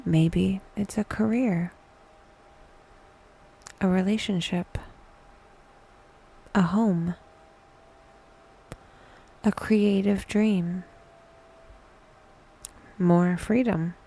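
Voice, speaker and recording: Female meditation host, hushed and breathy, mellow tone, intimate soothing delivery with deliberate pauses. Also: on the low side, rough recording. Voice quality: soft, breathy whisper. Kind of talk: whispered, soothing guided meditation.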